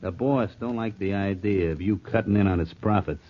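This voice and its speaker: An American actor playing an apologetic gangster gunman in a 1940s radio crime drama, with pompous, flowery words in rough street grammar. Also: lowish voice, normal speed, natural voice. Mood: polite threat. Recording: muffled, noisy 1940s radio recording.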